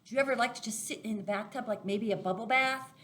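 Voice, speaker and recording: A woman's voice: on the high side, quick pace, fairly bright tone. A woman leading a children's Sunday school lesson, explaining things simply, step by step, as if to young listeners. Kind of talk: children's lesson, craft demonstration.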